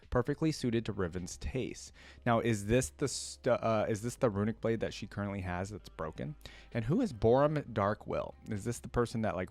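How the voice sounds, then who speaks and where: A man's voice: on the low side, no room sound. A man hosting a reaction channel, moving between casual commentary and reading aloud.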